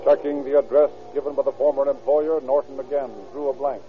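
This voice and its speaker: An actor narrating a police case in old radio crime-drama style, formal, sober and deliberate. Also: male voice, medium pitch, fairly even intonation, close to an everyday voice. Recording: narrow old radio recording, music behind.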